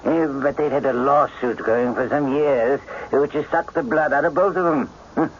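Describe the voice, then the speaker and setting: An actor playing a genial, blustery English colonel with a hesitant, muttering gentlemanly manner in a radio drama. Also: male voice, fairly high pitch, normal speed, lightly acted. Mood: explaining. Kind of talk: radio mystery drama acting. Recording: muffled, hissy old radio recording.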